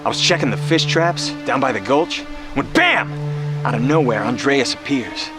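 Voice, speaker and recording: An actor playing a rugged post-apocalyptic wanderer who tells boastful tall tales in a folksy, emphatic way, in a film drama. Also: male voice, medium pitch, animated, moderate pace, loud music behind the voice, natural voice. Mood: boastful, dramatic. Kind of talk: film dialogue, campfire storytelling.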